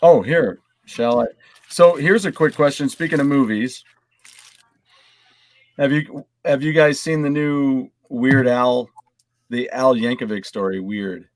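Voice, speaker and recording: A man's voice: mid-range voice, a little slow. A man chatting casually as one of a group of podcast panelists.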